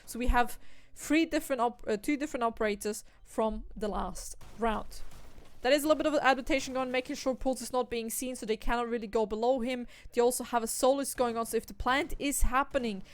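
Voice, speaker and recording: A young woman from Austria, commentating an esports match solo, breaking down tactics and lifting into excited calls on the kills. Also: a little high, fairly quick. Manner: analytical, rising to excited bursts on kills. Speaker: esports caster.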